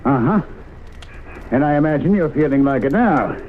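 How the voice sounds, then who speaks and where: A man's voice: a little high, lively intonation, moderate pace, natural voice. An actor playing a sly, pushy small-town man who is getting on in years, pressing his point in a 1970s radio drama. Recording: narrow-band, noisy old radio recording.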